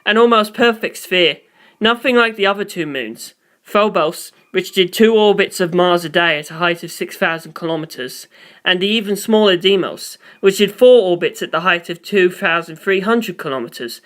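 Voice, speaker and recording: A man's voice: high-pitched, a bit bright. A man serves as the narrator of a story. He reads scripted first-person prose, moving through description and inner questions.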